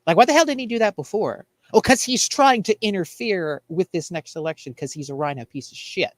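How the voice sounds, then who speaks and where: A man's voice: high-pitched, animated, brisk, no room sound. An American man on a talk show panel, giving opinionated political chat in a blunt, exasperated, ranting manner.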